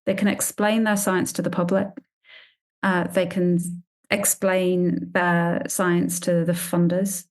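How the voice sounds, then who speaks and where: A woman's voice: lowish voice, level tone, takes it slowly. A woman scientist answering conversationally in an interview, emphatic and repeating phrases for stress. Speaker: scientist and grant panel member.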